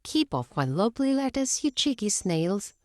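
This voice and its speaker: A woman's voice: mid-range voice, very animated, moderate pace, no echo, studio quality, pitched above the performer's own voice, close to an everyday voice. A woman voicing a kindly, playful old grandfather pig from a storybook, hearty and fond of explaining.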